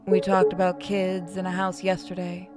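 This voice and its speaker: A woman voicing a bitter, disillusioned wife with an accusing, sharp-tongued manner in a visual novel. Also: fairly low pitch, monotone, moderate pace, over loud music, natural voice. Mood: bitter, wounded.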